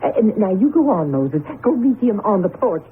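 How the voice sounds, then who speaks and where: An actress playing a loving older farm wife and mother in an old-time radio drama, tender, wistful and gently fussing. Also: medium pitch, very animated, normal speed, close to an everyday voice. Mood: flustered. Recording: tinny, hissy radio drama recording.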